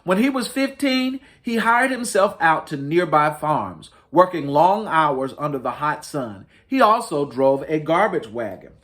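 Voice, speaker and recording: A man reads a children's picture book aloud as a story-time host. His voice sits a little high for a man and swings widely in pitch. He stresses key words with dramatic emphasis. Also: expressive, unhurried, hardly any pauses, a bit bright. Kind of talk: expressive picture book read-aloud for families.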